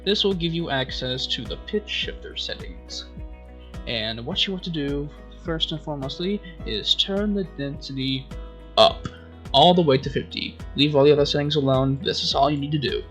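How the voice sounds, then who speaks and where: A man narrating a scripted tutorial as its host, with theatrical, drawn-out emphasis. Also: on the high side, a bit bright, faint music behind.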